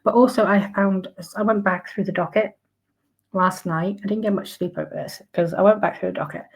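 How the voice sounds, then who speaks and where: A woman with a croaky voice, ranting in casual commentary with outraged sarcasm and plenty of fillers and restarts. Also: mid-range voice, fairly even intonation, a little fast, rough recording. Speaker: commentary vlogger.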